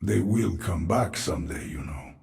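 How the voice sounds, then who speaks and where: A bitter, world-weary mutant outcast in a game cinematic. He is low and gravelly, talking slowly in an echoing space. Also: expressive, a little mellow, exaggerated voice. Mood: wistful. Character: bitter half-human, half-alien outcast, weary, sardonic. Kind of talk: video game cinematic acting.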